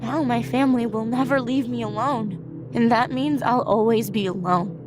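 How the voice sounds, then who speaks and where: A woman's voice: a little high, moderate pace, music in the background, lightly acted. A woman playing a despairing, accusing teenage girl in a video game, bitter and reproachful.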